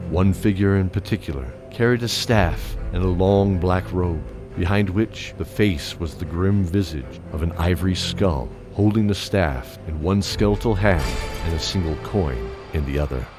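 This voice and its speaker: A man with a deep voice delivers scripted fantasy narration for an audio drama. Music plays clearly behind him.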